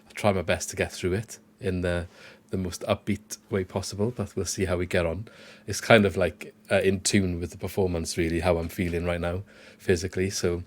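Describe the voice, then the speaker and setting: A man hosting a casual football podcast, his voice croaky from illness, talking conversationally with frequent restarts. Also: sits low, little pitch movement, fairly quick. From Wales. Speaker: podcast host.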